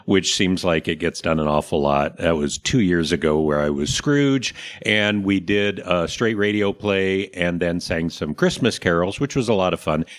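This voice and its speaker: A man's voice: low voice, normal speed, hardly any pauses. A man hosting a talk show in a casual, conversational style, explaining things and sometimes searching for his words.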